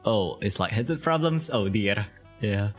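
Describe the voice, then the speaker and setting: A man's voice: on the low side, lively intonation, measured pace, faint music behind. A man hosting a casual interview stream, laughing often and filling his talk with "like" and "uh". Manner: giggly, filler-heavy, lots of "like". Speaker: interview show host. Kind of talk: casual chat over a video call.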